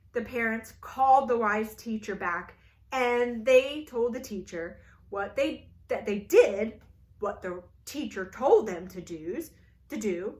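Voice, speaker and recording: Slow, lively woman reading to kids. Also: on the high side. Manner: animated, playful, invites kids to join in. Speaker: children's librarian storyteller. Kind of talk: storytime read-aloud for kids.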